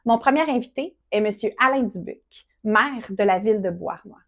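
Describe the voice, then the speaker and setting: A woman's voice: medium pitch, moderate pace, fairly bright tone. A woman hosting a casual interview, friendly and a bit hesitant with many fillers. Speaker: interview host.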